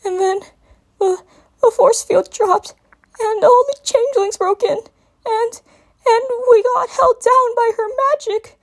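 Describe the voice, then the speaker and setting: Woman voicing a sobbing, shrill little boy dragon. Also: measured pace. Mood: sobbing, panicked. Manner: whimpering, sobbing, stammering through cracking voice. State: crying. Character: distraught young male dragon confessing a nightmare. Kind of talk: dramatic reading of fan fiction.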